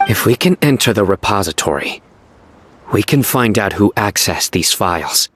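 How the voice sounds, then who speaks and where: An actor playing a stern, composed young male investigator who speaks in a measured, serious way, in a fan dub of a game. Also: fairly low pitch, animated, natural voice. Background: background music.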